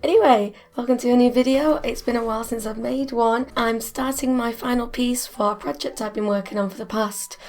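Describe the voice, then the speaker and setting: A young woman with a croaky voice, chatting casually about her art school work. Her voice sits a little high, and she laughs often and pokes fun at herself. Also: fairly even intonation, a little fast, few pauses, a little mellow. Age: 20s. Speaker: art student vlogger. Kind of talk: casual vlog narration.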